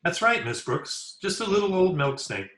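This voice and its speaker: A man's voice: high-pitched, big pitch swings, normal speed, fairly bright tone. Man as an eager, dim schoolboy, echoey. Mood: eager, friendly. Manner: folksy, gee-whiz, simple. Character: eager, dim, good-natured teenage schoolboy. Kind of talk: old-time radio comedy acting. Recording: echoey room over remote call.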